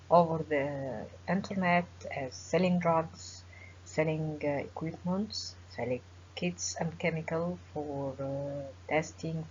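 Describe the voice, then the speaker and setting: Low-voiced Egyptian woman lecturing. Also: darkish tone. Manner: formal, measured, with frequent pauses and fillers. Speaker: professor giving a webinar lecture. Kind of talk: academic webinar lecture. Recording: dull lo-fi webinar audio with faint hiss.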